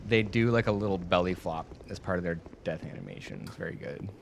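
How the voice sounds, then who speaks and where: Man playing a game with friends, low voice, joking, faint noise behind. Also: sing-song, quick pace, vocal fry. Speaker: player guest. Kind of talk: casual gaming chat.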